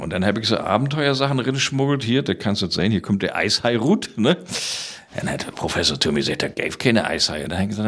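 A man from Rügen with a northern German accent, casually telling stories in Low German as an interview guest. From island of Rügen, Germany.

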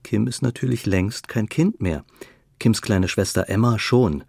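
A man narrating a bittersweet family radio play, understated and wry, with a creaky voice. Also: lowish voice, unhurried, a little mellow, dry recording, clean studio sound, heavily acted. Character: male storyteller of a bittersweet family tale.

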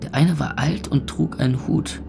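Gravelly, deadpan young club owner. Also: male voice, medium pitch, moderate pace, music in the background. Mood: tense, wry. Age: young adult. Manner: deadpan, dry. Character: weary, sardonic 23-year-old club owner narrating his life. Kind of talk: first-person radio play narration.

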